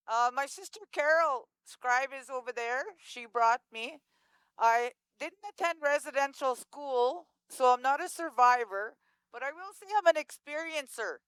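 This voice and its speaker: A woman's voice: high-pitched, fairly bright tone, smooth, no room sound, rough recording. A woman from Manitoba speaking plainly and steadily to an audience about her family. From Manitoba, Canada. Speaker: speaker at a community event. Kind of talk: personal remarks to an audience.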